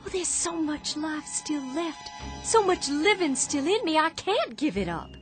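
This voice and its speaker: An actress playing a young farm wife in a radio drama, talking emotionally and pleadingly with halting restarts. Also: female voice, sits high, big pitch swings, over music.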